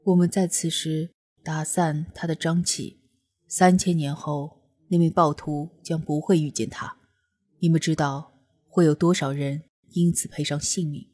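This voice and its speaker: Woman playing composed young male immortal, husky, level delivery. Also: low-pitched, fairly even intonation, a little slow, a little mellow. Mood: calm.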